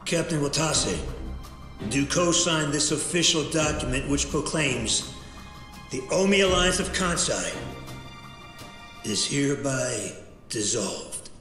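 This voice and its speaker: A man's voice: fairly high pitch, fairly bright tone. A man playing a fierce, solemn yakuza captain in a video game, giving a formal, weighty speech. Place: large hall, at a microphone. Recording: echoing banquet hall, faint music.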